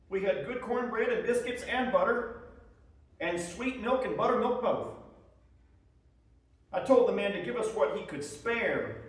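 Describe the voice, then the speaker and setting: Casting: male actor as high-voiced, weary but defiant Civil War soldier reading letters home, folksy and plain-spoken, distant echoey live recording. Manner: plain-spoken, folksy storytelling with rustic grammar. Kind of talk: dramatic reading of wartime letters. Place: live presentation in an echoey room. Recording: echoey room, distant microphone.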